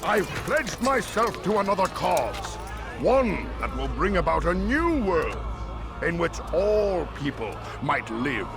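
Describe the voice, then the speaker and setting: An actor playing a decadent, resentful merchant lord in a video game, with grandiose, mock-courteous oratory and a creaky voice. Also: male voice, sits high, very animated, takes it slowly, heavily acted. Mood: wounded, indignant. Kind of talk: dramatic video game cutscene acting.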